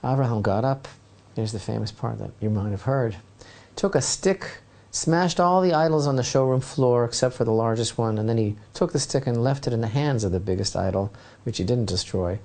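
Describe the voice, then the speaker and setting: Mellow-voiced rabbi telling a legend. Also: male voice, medium pitch, lively intonation, brisk, vocal fry. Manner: dramatic storytelling, asides to listeners. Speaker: rabbi, teacher. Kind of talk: Torah lesson retelling a legend.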